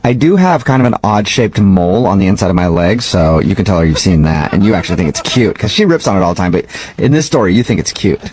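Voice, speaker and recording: A man's voice: sits low, very animated, fast, a little mellow, pitched below the performer's own voice. A man playing a pushy, self-absorbed married boss, wheedling and insistent in a comedy prank call. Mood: pushy, needy. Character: pushy, needy married boss with office-affair schemes.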